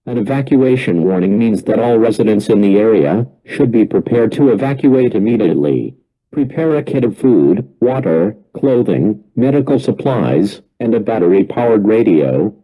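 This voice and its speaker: Dark-voiced man reading an emergency alert. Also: fairly low pitch, lively intonation, poor audio. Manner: formal, measured, impersonal. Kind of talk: scripted emergency alert announcement.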